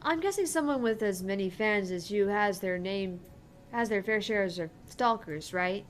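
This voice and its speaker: A woman voicing a dry, skeptical young female bartender in a video game, reserved and deadpan. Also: mid-range voice, normal speed, lightly acted. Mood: deadpan, puzzled. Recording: clear background noise.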